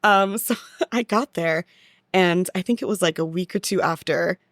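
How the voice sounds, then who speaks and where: A woman's voice: fairly low pitch, normal speed, no room sound, studio quality. A young American woman telling stories casually and chattily as a podcast guest, as a touring theatre actress would. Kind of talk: casual conversational storytelling.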